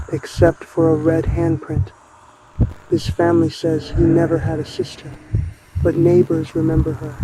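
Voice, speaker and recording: Higher-pitched ominous man over noisy rumble. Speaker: narrator of a horror broadcast. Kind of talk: scripted analog horror emergency broadcast narration.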